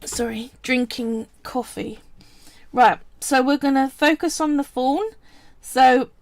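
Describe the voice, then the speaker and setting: A woman's voice: high-pitched, slight background noise. A woman casually talking viewers through a polymer clay sculpting project on a live stream, chatty and explanatory. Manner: chatty and explanatory, often pausing to say "right" and "so".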